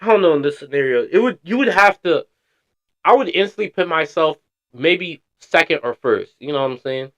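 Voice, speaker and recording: A man's voice: a little high, expressive, normal speed, fairly bright tone, dull audio. A man streaming casual reaction commentary, slangy and blunt, swearing freely.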